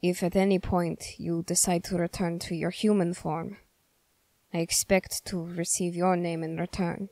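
A woman voicing a stern, wary young male soldier in measured, formal, halting phrases for an ASMR roleplay. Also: on the low side, level tone, a little mellow, no echo. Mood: gently stern. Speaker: roleplay voice performer. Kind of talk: ASMR character roleplay addressed to the listener.

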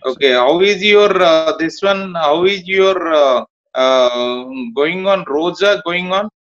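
A man from India, a job candidate, talking in a halting, repetitive way on a video call from a small indoor room. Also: a little high, fairly bright tone. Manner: halting, repeats words. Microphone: phone or laptop camera, video call. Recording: muffled video call audio.

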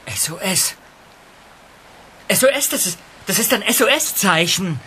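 An actor playing an easily spooked young detective in a German audio drama, hesitant and nervous. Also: male voice, sits high, animated, measured pace, pushed and bright, a little hiss or hum, heavily acted.

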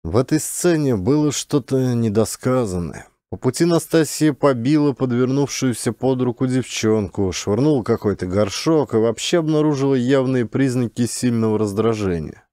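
A man's voice: medium pitch, lively intonation, normal speed, few pauses, mellow, dry recording, clean studio sound. A man reading a classic literary story as scripted audiobook narration.

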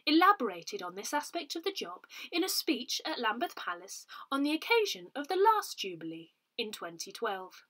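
A woman reading a newsletter aloud in a measured, expressive manner, as a volunteer narrator. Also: fairly high pitch. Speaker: volunteer reader of a parish newsletter.

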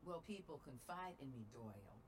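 A man playing a chatty, sentimental robot crewman in a game cutscene, animated and folksy. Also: mid-range voice, moderate pace, background noise, natural voice. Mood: defensive. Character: chatty sentimental robot-bodied crewman missing his human body. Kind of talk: video game cutscene acting.

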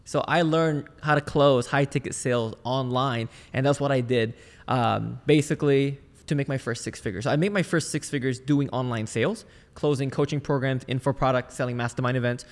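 A man's voice: mid-range voice, steady tone, brisk. Podcast guest: man from Malaysia, mid-range voice, casual conversational storyteller, long reverb on the recording. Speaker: podcast guest, sales trainer and entrepreneur. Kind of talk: casual personal storytelling in an interview.

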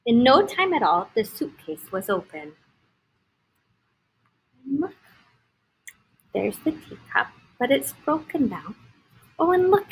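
A woman in her thirties reading a picture book aloud to young children, expressively, pausing to point out pictures with simple comments. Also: high-pitched, poor audio. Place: indoor school classroom. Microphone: laptop on a video call.

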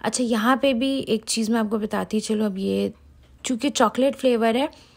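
A woman's voice: a little high, moderate pace, creaky. Chatty woman giving recipe instructions. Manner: chatty, addresses viewers directly. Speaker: home cooking channel host. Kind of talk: casual recipe voice-over tutorial.

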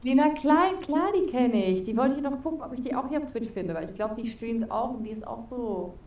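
A woman's voice: on the high side, expressive, a little fast, echoey room, distant mic, muffled recording. A young woman chatting as an interview guest over a video call with a headset, talking casually with lots of fillers. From Spain. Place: home room with neon sign, indoors.

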